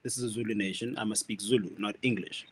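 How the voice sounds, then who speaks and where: A man's voice: on the low side, fairly even intonation, fast, breathy edge. Playful man, podcast co-host. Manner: playful, hedging and thinking aloud. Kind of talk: casual chat.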